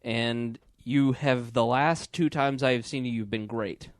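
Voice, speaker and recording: A man chatting as a podcast host, medium in pitch and joking in manner. The recording is dry and close, with little room sound. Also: steady tone, measured pace, dry recording. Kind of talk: casual podcast banter.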